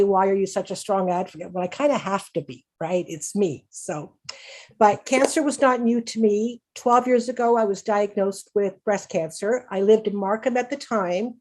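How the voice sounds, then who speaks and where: Woman, early sixties, Canadian accent, medium pitch, candid, informal, conversational presentation. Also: darkish tone. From Canada, raised in Hamilton, Ontario. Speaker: patient speaker at a conference.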